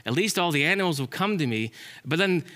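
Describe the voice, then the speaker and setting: A man voicing an excitable, stressed squirrel in a children's story, whining and exclaiming in frustration. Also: on the high side, fast. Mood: worried. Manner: whiny exclamations, screams when upset.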